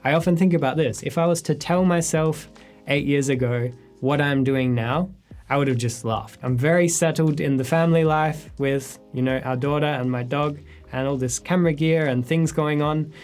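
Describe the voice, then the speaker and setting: A man in his thirties, a photographer, answering viewer questions in a relaxed, reflective sit-down chat in his studio. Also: fairly high pitch, normal speed, clean tone, soft background music. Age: 30s. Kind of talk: casual sit-down Q&A. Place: his studio space, indoors.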